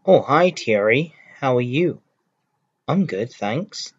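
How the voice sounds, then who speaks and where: A man voicing an eager young friend, speaking in a slow, plain, unpolished way. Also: mid-range voice, animated, no room sound. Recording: dull lo-fi home recording with clear hiss.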